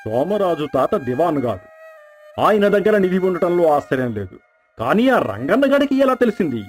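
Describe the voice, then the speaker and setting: A man's voice: a little high, big pitch swings, a little fast, faint music behind. A man voicing a greedy, suspicious village landlord, the bullying villain of an acted moral story. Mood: astonished.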